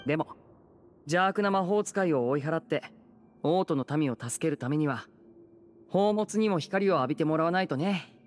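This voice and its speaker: An actor playing a youthful, easygoing adventurer in an anime-style fantasy game, gentle and conversational. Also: male voice, a little high, expressive, normal speed, a little hiss or hum, lightly acted. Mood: curious, easygoing.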